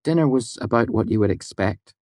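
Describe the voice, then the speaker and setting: A man's voice: medium pitch, brisk, no room sound, studio quality, voice pushed up, close to an everyday voice. A man voicing a playful, teasing young woman. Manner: lively, teasing.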